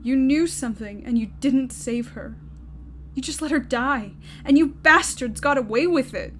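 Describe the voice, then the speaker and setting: A woman's voice: high-pitched, normal speed, a bit bright, slight background noise. A woman acting in an audio drama as a resolute, bitter young woman, blunt and commanding. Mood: angry, resolute.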